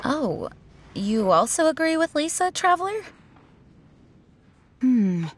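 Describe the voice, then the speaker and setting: A woman's voice: high-pitched, big pitch swings, slow pace, full character voice. A woman playing an earnest, dutiful, reserved young leader in a video game, speaking politely and with composure. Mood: reflective, earnest. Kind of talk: video game cutscene acting.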